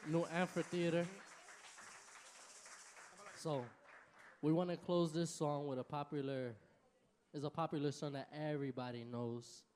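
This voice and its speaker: Man giving slow, casual stage shout-outs. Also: fairly high pitch, steady tone. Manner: casual, hesitant stage patter. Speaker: bandleader and emcee. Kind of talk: bilingual stage thank-yous and shout-outs. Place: concert hall stage.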